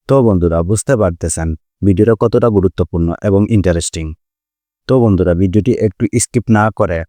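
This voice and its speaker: A man's voice: lowish voice, warm tone, no echo, clean studio sound. A man with a Bangladeshi accent narrating a drama series update as a channel host, repetitively addressing viewers as friends. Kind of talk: scripted YouTube review narration.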